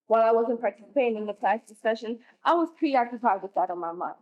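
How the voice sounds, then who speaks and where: A woman's voice: fairly high pitch, lively intonation, fast talker, a little mellow, lo-fi sound, natural voice. A woman playing a hurt, defiant teenage schoolgirl in an emotional, accusatory stage monologue. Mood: bitter, accusing. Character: hurt, defiant 16-year-old schoolgirl confronting her mother. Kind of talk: stage play acting.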